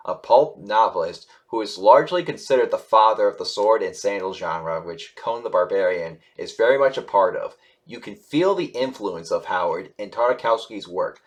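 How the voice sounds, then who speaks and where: A man with a somewhat low voice presents casual online news commentary. He moves between reading aloud and offering his own take. His delivery is halting and rambling, and he often corrects himself and sounds unsure. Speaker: YouTube host reviewing animation news.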